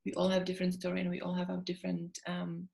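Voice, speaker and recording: A woman's voice: on the low side, fast, creaky. Young woman with a Czech accent. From Czech Republic. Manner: relaxed, many ums, chatty. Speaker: guest. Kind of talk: interview conversation.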